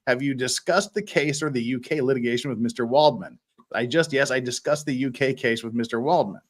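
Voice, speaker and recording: A man's voice: medium pitch, brisk. A man hosting a livestream, bantering in a jokey way and reading court transcripts aloud. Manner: jokey, animated. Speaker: livestream host.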